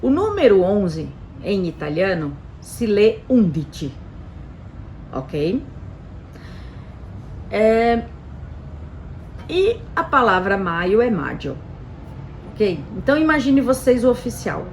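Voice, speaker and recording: Brazilian woman, mid-pitched, chatty explainer, light noise behind. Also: takes it slowly, long pauses, poor audio. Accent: Brazilian Portuguese. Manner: chatty, frequent "né" and "tá" tags, animated emphasis. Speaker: Italian citizenship specialist presenting a channel. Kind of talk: casual explanatory talk to camera.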